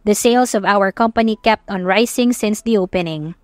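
A woman narrates a romantic audio story in the first person. Her voice sits at a medium pitch, and the recording is close and dry. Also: normal speed, no echo.

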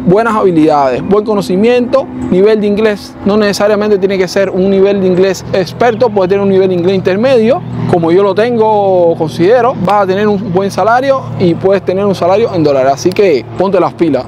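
A man with a Cuban accent talks in a loose, chatty vlog style and keeps checking that you follow him. His voice sits high for a man. Faint street noise hums behind him. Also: brisk, hardly any pauses. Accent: Cuban Spanish. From Cuba. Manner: chatty, often adds "¿me entiendes?". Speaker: software developer and channel host. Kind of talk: casual vlog chat.

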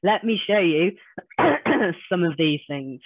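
A woman, hoarse from a cold, giving an online business training webinar and chatting through software demos on a video call. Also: lowish voice, very animated, slow pace, dry recording. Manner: chatty, self-deprecating explainer walking through screen demos. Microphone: video call webcam. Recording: tinny phone-like call audio.

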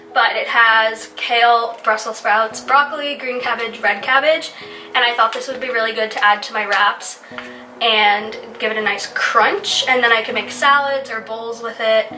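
Young woman, twenties, congested, casual haul chatter, slightly high voice, echoey room with backing music. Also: sharp tone, far from the mic. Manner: chatty, lots of "like" fillers. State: stuffy, recovering from illness. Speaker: lifestyle vlogger. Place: home room, indoors. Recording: roomy, off-mic, with music. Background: background music.

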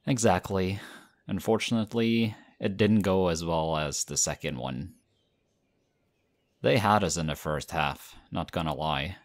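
A man's voice: deep voice, measured pace. A man playing a modest young team captain. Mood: excited, friendly. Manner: relaxed, modest, chatty. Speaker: audio roleplay actor. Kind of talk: audio roleplay.

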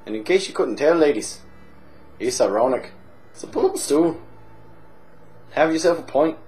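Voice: animated, normal speed, a little mellow, lightly acted. A performer playing a crude, cheerful Irish drinker with a put-on Irish accent, swaggering through a comic monologue. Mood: rowdy. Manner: crude, swaggering, jumps between high squeals and low mutters. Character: rowdy boozy Irish man, crude and cheerful.

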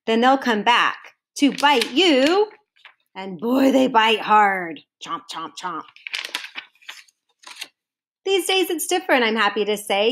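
Woman storybook reader for schoolchildren: higher-pitched, very animated, sing-song and deliberate. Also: a little hiss or hum. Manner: sing-song, deliberate, drawn-out phrase endings. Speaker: teacher reading aloud to students. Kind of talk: expressive children's storybook read-aloud. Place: home kitchen, indoors.

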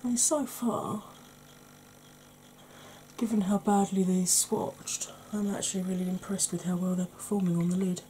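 A middle-aged woman with a Welsh accent giving an ASMR makeup tutorial at home, talking softly and often in a whisper, chatty with a dry sarcastic streak. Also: lowish voice, level tone, moderate pace, slight background noise, poor audio. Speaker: beauty YouTuber. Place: indoors at home, face in close-up.

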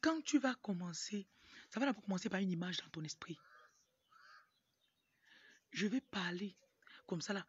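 A woman's voice: mid-range voice, animated, moderate pace, long pauses, breathy edge, no room sound. A young woman with a Cameroonian French accent gives an informal motivational talk to camera, often breaking off into asides. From Cameroon, grew up in Tonga. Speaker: motivational speaker, livestream host. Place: outdoors in a yard. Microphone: phone at arm's length. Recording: muffled, noisy outdoor phone recording. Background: rooster crowing, background noise.

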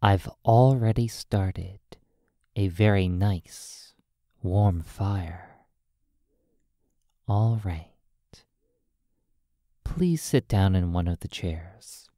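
Very slow, low, soothing hypnotist voice. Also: dry recording, clean studio sound. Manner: hushed, soothing, drawn-out phrases with long pauses. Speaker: hypnotist playing a sleep therapist. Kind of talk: ASMR hypnosis roleplay.

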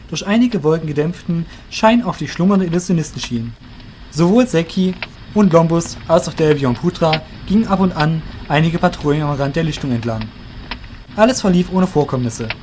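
A man's voice: fairly high pitch, darkish tone. A man narrating a fantasy audio drama from a script.

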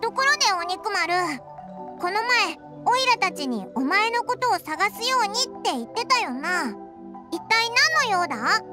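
A woman's voice: very high voice, very animated, normal speed, loud music behind the voice. A woman voicing a small, cheeky, childlike fairy companion in a video game, with blunt, tomboyish speech. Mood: curious. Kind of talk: Japanese video game character dialogue.

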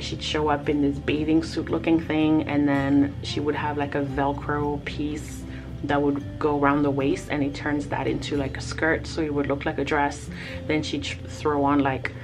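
A woman whose first language is Lingala, from the Congo, chatting casually and reminiscing as she tells a story. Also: deep voice. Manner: chatty and reminiscing, with frequent laughs and fillers. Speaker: artist vlogger. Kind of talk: casual storytelling over a timelapse. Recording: music playing under the voice.

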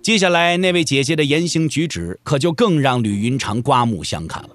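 A man delivering dramatic, expressive scripted narration for a wuxia audio drama. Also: medium pitch, a little fast, soft background music. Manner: expressive storytelling.

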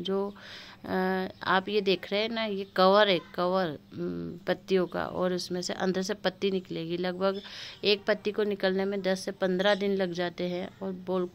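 A woman's voice: medium pitch, vocal fry. A woman giving a casual, chatty tour of her terrace garden, talking unhurriedly to her viewers with many fillers, filmed on a handheld phone outdoors. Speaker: gardening vlogger. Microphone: phone camera held while filming.

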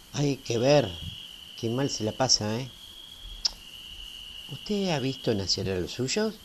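A man's voice: mid-range voice, sing-song, slow pace, pauses often. A middle-aged man with a Rioplatense Argentine accent playing a talkative, tender-hearted small-town family man in a radio play. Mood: tender, chatty. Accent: Rioplatense Argentine Spanish. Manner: talkative, folksy, seeking agreement. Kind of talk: amateur radio play acting. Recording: faint hiss with night crickets. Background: crickets, night ambience.

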